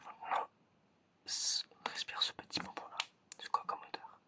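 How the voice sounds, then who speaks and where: ASMR roleplay examiner: all whisper, bright tone, casual and encouraging, lots of soft word repetition. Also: normal speed. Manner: whispers throughout, repeating words softly; casual, encouraging, informal phrasing. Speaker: ASMR creator playing an examiner. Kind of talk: ASMR roleplay, testing the listener's senses.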